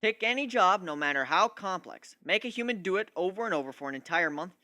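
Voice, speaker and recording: A man's voice: high voice, expressive, fairly quick, pushed and bright, no echo. A man voicing a cocky, scheming male griffin in a dramatic story reading, talking in a boastful, glib way. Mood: smug, confident. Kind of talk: dramatic reading of fan fiction.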